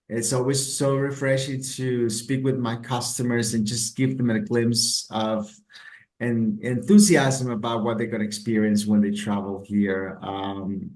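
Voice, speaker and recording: A man's voice: medium pitch, darkish tone. Guest: middle-aged man, Costa Rican accent, hesitant, roomy reverb. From Costa Rica. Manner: hesitant, many ums and repeated words. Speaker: travel expert guest. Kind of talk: casual interview answers. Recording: roomy echo.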